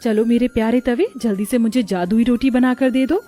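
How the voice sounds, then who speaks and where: A woman voicing a gentle, poor young daughter-in-law in a cartoon, sweet and earnest. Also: fairly high pitch, fairly even intonation, moderate pace, natural voice. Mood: kindly, eager.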